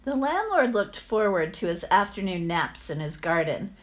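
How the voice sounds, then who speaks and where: A woman's voice: medium pitch, big pitch swings, normal speed. A woman reading a children's storybook aloud expressively, like a teacher to her pupils.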